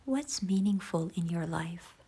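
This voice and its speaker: Slow, breathy woman guiding a meditation. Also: on the low side, animated. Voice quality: soft, breathy, hushed. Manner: gentle, unhurried, soothing. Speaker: guided visualization facilitator. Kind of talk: slow guided meditation narration.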